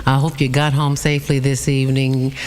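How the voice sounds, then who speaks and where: A woman hosting a gospel radio show, chatting warmly with callers and reading announcements. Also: rumbling low, little pitch movement, brisk. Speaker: radio host. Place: radio studio.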